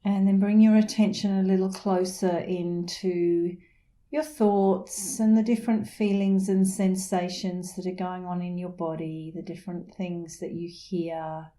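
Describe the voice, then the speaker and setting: Middle aged lady doing guided meditation, really slow n soothing, soft mellow voice. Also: fairly low pitch. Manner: soothing, unhurried, gentle pauses. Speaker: meditation instructor.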